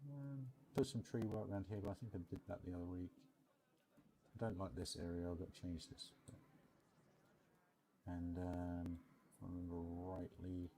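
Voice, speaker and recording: Male game commentator, low and soft-toned voice, casual, unscripted thinking-aloud delivery. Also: steady tone, normal speed, long pauses, a little hiss or hum, rough recording. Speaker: video creator showing his game park build.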